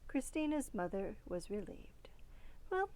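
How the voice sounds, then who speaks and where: A woman reading a children's storybook aloud expressively, with dramatic emphasis that softens at phrase ends. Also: medium pitch, animated, slow, low-quality recording. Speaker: storybook reader.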